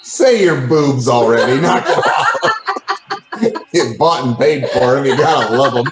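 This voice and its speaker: A man's voice: medium pitch, animated, a little slow, over loud music. A man in a casual group chat, teasing the others and giggling. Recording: echoey room with people behind.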